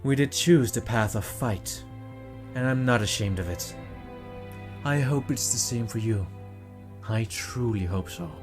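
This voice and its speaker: A man voicing an earnest young man in a fan audio drama, speaking calmly and sincerely. Soft music plays under him. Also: mid-range voice, a little slow, long pauses, natural voice. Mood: resolute.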